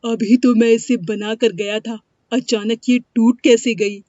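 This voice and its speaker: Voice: medium pitch, flat delivery, unhurried, warm tone, dry recording, natural voice. A performer voicing a poor, humble cobbler boy in a story, polite and plaintive. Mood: forlorn. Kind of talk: animated moral-story dialogue. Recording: dry, dull lo-fi studio sound.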